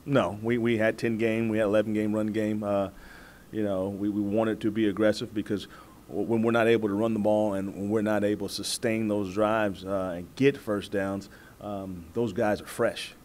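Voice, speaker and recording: An American man in his forties, a football coach answering press questions in a measured, halting way, repeating words as he goes. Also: fairly low pitch, flat delivery, darkish tone, a little hiss or hum. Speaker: college football head coach. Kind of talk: postgame press conference answers. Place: indoor press room at a table before a backdrop. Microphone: several press microphones on the table.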